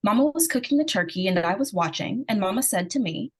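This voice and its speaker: A woman playing an excitable, earnest little girl who narrates an old-time radio drama in a folksy, childlike way. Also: medium pitch, fast talker, husky. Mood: eager, earnest. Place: remote live performance over video call.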